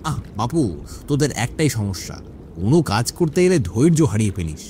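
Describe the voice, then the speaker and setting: A man's voice: medium pitch, sing-song, fairly quick, warm tone, a little hiss or hum, close to an everyday voice. A man playing a grumbling, suspicious old village fisherman in a cartoon, folksy and chiding. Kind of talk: Bengali cartoon story dialogue.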